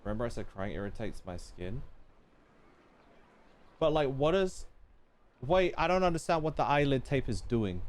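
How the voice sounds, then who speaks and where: A man's voice: medium pitch, very animated, moderate pace, long pauses. A man streaming a video game, chatting informally and reading game text aloud.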